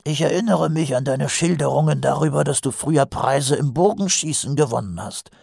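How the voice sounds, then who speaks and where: Guy doing a weaselly cowardly watchman for an audiobook, croaky and raspy, wheedling, very dry close sound. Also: a little high, fairly quick, darkish tone, no echo, voice pushed up, heavily acted. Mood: sly, needling. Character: shifty, cowardly little city watchman, sly and whiny. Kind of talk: audiobook character voice.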